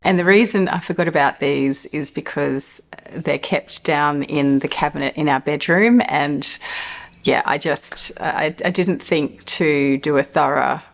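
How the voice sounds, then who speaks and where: A woman in her fifties, slightly croaky from a cold, chatting enthusiastically to camera about perfumes at home and laughing often. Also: low voice, slow. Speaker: perfume reviewer. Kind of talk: casual to-camera review. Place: home living room.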